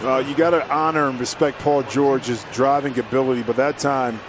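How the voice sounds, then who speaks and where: Measured man breaking down basketball plays. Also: mid-range voice, steady tone, noisy background. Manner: measured, explanatory analyst. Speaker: color commentator. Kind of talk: basketball color analysis.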